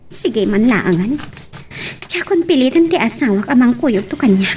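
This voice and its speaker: A woman's voice: fairly high pitch, very animated, measured pace, a little mellow, distant mic, slight background noise. A woman playing a pressing, reproachful wife in an Ilocano drama, with an Ilocano accent. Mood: resigned, sulky. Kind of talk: Ilocano radio-style serial drama acting.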